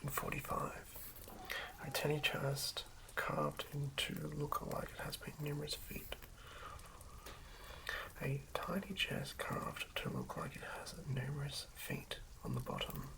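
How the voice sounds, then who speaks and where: A woman whispering in a breathy, unhurried ASMR style, muttering and repeating words as the host rambles through making a roleplaying character. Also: sits low, big pitch swings, poor audio.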